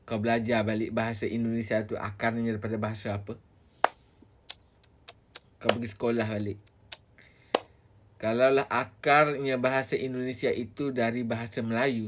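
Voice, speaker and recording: A man's voice: mid-range voice, a little hiss or hum. A man lecturing bluntly.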